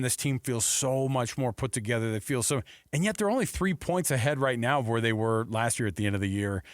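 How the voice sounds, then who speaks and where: A man with a medium-pitched voice and very dry sound co-hosts sports talk. He chats casually and thinks aloud, circling around before he lands on his question. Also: fairly bright tone, dry recording. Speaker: sports radio co-host. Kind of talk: casual interview chat.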